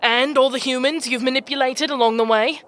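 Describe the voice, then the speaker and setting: A woman's voice: high voice, steady tone, a little fast, fairly bright tone. A woman playing a fierce, brash demon chieftain in a fantasy audio drama, with a swaggering, coarse manner. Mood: grave, accusing. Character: brash, taunting female demon chieftain, fierce war leader.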